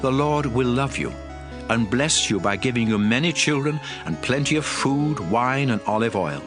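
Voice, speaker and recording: A stern, fervent prophet played by a man proclaims scripture to his people. His mid-pitched delivery rises and falls dramatically, solemn and emphatic, over a clear bed of music. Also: animated, normal speed, natural voice. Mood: fervent, exalting. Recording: music under the voice.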